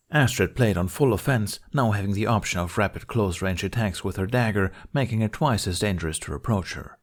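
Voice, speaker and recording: A man reading scripted fantasy fiction aloud as an audiobook narrator. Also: on the low side.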